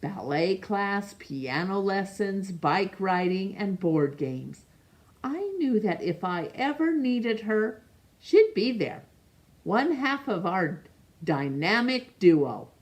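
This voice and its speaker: A woman reading a picture book aloud to children, expressive and pausing to ask young listeners questions. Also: lowish voice. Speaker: storytime reader.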